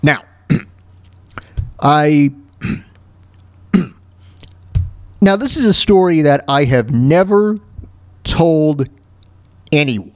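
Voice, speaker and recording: A man in his thirties hosting a talk show alone, telling stories and ranting casually in a halting, repetitive way with frequent throat clearing. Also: fairly high pitch, expressive, dry recording. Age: 30s. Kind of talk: casual monologue, ranting and personal storytelling.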